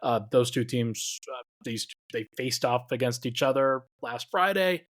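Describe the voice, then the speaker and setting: A man hosting a basketball podcast, talking in a relaxed way and thinking aloud with lots of fillers. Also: mid-range voice, measured pace. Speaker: podcast host. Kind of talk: casual basketball podcast chat.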